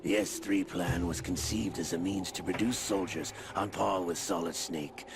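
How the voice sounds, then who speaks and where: An actor playing a sly older schemer in a video game cutscene, gravelly, smug and condescending. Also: male voice, low-pitched, steady tone, moderate pace, very noisy. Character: sly older male schemer, smugly condescending puppet master. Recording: music behind the dialogue.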